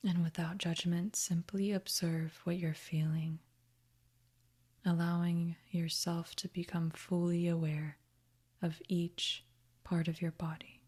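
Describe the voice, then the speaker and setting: A woman guiding a meditation in a soft, breathy, half-whispered voice, with a gentle, soothing manner. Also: lowish voice, level tone. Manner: gentle, soothing, unhurried instruction. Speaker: meditation guide. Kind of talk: guided meditation narration.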